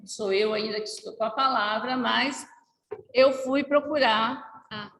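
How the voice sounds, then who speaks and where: A Brazilian woman giving a gentle, explanatory talk to a congregation, with light asides. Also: fairly high pitch, steady tone, unhurried, a little hiss or hum. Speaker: spiritist lecturer. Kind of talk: unhurried didactic talk to a congregation. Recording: echoey hall with long reverb.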